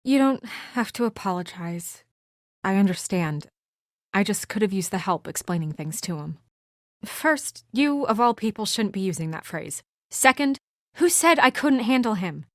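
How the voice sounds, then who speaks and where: A woman's voice: medium pitch, animated, normal speed, dry recording, close to an everyday voice. A woman acting as a composed, earnest young investigator in a noir fantasy video game, serious and restrained with a dry edge. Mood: irritated. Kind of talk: video game voice acting, naturalistic dramatic dialogue.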